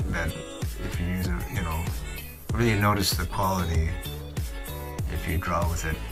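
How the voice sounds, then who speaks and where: A man presenting an unscripted digital art tutorial voice-over, thinking aloud in a hesitant, halting way. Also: low-pitched, steady tone. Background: guitar music.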